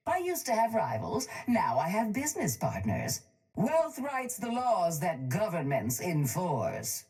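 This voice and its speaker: A ruthless, wealthy villainess speaks slowly through a breather mask. Her voice is low and swoops with cold, haughty command, like a video game crime boss addressing underlings. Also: huge pitch swings, a little mellow. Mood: smug, imperious. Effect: breather mask filter. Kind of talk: video game character voice lines. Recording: music playing behind the voice.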